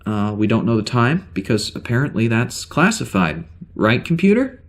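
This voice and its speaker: An actor playing a bewildered, weary spaceship security officer with amnesia in an audio drama, speaking in a halting, stammering way. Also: male voice, medium pitch, big pitch swings. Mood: weary, wry. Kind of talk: audio drama log monologue.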